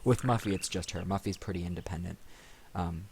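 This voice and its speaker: A man's voice: deep voice, brisk, a little mellow, slight background noise. A man hosting a let's play, rambling casually and thinking aloud into a desk microphone. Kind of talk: casual gaming commentary. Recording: music behind the voice. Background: video game music.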